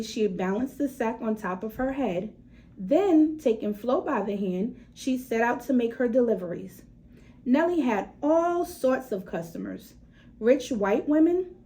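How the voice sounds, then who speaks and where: A woman with a fairly high voice reads a children's picture book aloud. Her pitch swings widely as she goes. She draws out key words and breaks off to talk straight to her young listeners. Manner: animated, stretches words for emphasis. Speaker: read-aloud storyteller for children.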